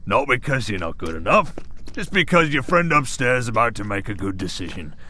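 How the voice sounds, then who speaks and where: A man's voice: medium pitch, sing-song, a little fast, pushed and bright, a little hiss or hum. A man playing a cocky, goading henchman in an audio drama, with a folksy, swaggering way of taunting. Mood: menacing, confident. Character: cocky, goading male villain henchman in combat. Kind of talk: scripted audio roleplay drama.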